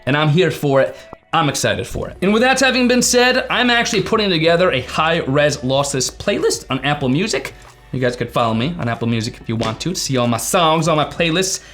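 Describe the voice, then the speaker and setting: A man's voice: a little high, animated, brisk, a bit bright. A man hosting a tech video, explaining things casually and informally to viewers, leaning on key words for emphasis. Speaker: tech reviewer and video host.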